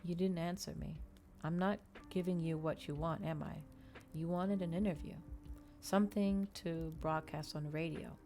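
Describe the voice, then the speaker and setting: A woman voicing a brooding, courteous male vampire in a soft, slow, melancholy manner for an audiobook. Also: on the low side, fairly even intonation, lightly acted. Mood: quietly challenging.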